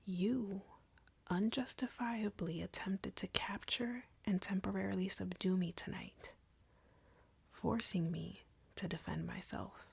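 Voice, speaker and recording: Woman playing a slow, whispering, taunting vampire. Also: mid-range voice, steady tone, a little mellow, dry recording, exaggerated voice. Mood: bored, accusing. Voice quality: breathy, husky whisper. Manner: taunting, slow, deliberate, drawling. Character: arrogant, cruel, flirty female vampire captor. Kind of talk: scripted audio roleplay, intimate whispering.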